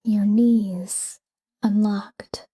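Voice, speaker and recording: Slow, whispering woman guiding sleep. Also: medium pitch. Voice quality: breathy, whispery. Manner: calm, hushed, soothing. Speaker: sleep meditation guide. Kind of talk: guided sleep meditation, soft whispered talk-down.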